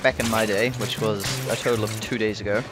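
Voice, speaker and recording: A young man telling casual stories as a gaming host, animated and sweary, acting out his reactions. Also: on the low side, a little fast. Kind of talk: casual storytelling over gameplay. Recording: music behind the voice. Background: music.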